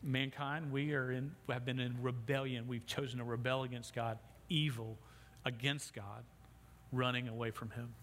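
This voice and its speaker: A man's voice: medium pitch, little pitch movement, normal speed, a bit bright. A middle-aged man preaching a sermon as pastor, speaking with a stutter that repeats sounds and restarts words, on a sound system microphone. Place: church sanctuary. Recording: long hall reverb, faint background noise.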